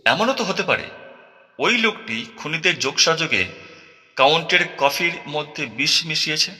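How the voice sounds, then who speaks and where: A man playing a shrewd, composed detective in an audio drama, speaking formally in a deliberate, probing manner. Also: a little high, lively intonation, bright, forceful tone. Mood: serious, intent. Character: shrewd, composed male detective, methodical and self-assured. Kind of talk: Bengali audio drama acting. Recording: long hall reverb.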